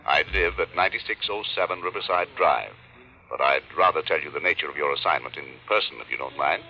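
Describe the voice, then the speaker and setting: An actor playing an older, rich art collector, formal and insistent, in a 1940s radio drama. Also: male voice, extremely low pitch, quick pace. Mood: anxious, urgent. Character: wealthy, urgent male art collector, older. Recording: muffled old radio recording.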